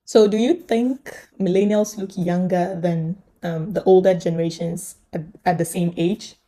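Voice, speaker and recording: A millennial woman hosting a conversational video commentary, speaking haltingly and putting questions to viewers. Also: fairly low pitch, fairly even intonation, warm tone. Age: young adult to middle-aged, millennial. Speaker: host.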